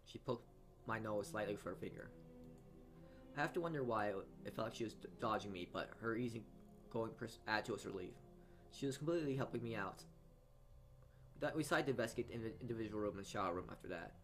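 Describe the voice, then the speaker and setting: A man's voice: lowish voice, expressive, a bit bright, soft background music. A man reading a visual novel aloud as a let's-player, stumbling over lines and adding his own asides. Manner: halting reading with frequent stumbles. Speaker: video game let's-player.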